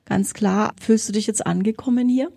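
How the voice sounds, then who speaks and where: Husky-voiced woman interviewer. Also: mid-range voice, moderate pace, dark tone. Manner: chatty, wordy questions. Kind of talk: casual interview questions.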